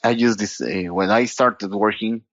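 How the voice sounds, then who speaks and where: A low-voiced man with a Costa Rican Spanish accent, speaking English as a second language in a relaxed podcast chat. He stops and restarts his phrases often and slips in "you know". The sound is dull and dry, like a lo-fi remote connection. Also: dry recording. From Costa Rica. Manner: halting, frequent restarts and "you know", laughs easily. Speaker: guest data platform expert. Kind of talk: casual podcast interview.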